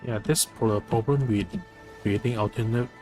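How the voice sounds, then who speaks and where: A man's voice: fairly low pitch, normal speed, darkish tone, faint music behind. A man streaming a game, giving casual commentary and chatting in muttered, half-finished thoughts. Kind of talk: casual live gameplay commentary chatting with viewers.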